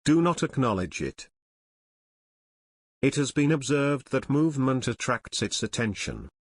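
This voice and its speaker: A man reading a scripted emergency announcement as a broadcast announcer in a formal, measured manner. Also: mid-range voice, sing-song, slightly rough, no echo.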